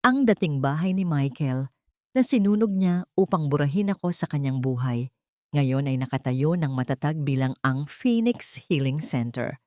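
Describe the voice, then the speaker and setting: A woman's voice: sits low, expressive, normal speed, darkish tone, no room sound, clean studio sound. A woman reading a first-person story as scripted audiobook narration, in an earnest, dramatic storytelling manner.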